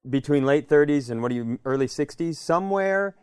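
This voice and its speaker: A man's voice: on the high side, expressive, fairly quick, a little mellow, clean tone, no room sound, studio quality. A middle-aged American man co-hosting a podcast, telling comic stories casually and emphatically, repeating phrases for effect. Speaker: podcast co-host. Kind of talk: animated comedic storytelling in casual chat.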